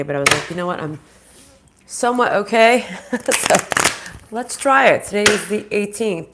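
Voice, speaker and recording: A woman's voice: deep voice, very noisy. Nasal, stuffy-voiced woman reading cards. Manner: chatty, stops and restarts, greets viewers by name. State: sick with a sinus infection. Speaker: spiritual reader and channel host. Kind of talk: live-streamed oracle card reading.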